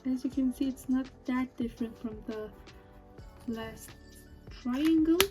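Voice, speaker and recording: A young woman with a Persian accent chatting softly while she draws, thinking aloud as she goes. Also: high-pitched, a little slow. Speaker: drawing video creator. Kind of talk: casual chat while drawing. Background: faint music and marker scratching.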